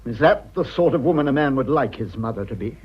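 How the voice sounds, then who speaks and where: An actor playing a wronged upper-class English husband in a British radio drama, clipped, haughty and indignant. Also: male voice, medium pitch, animated, quick pace, breathy edge, lo-fi sound. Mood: stern, accusing.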